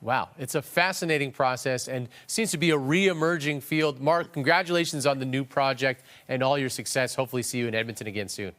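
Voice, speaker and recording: A man's voice: mid-range voice, a little fast, hardly any pauses, fairly bright tone. A man hosting a broadcast interview with a polished presenter's delivery. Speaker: TV news interviewer.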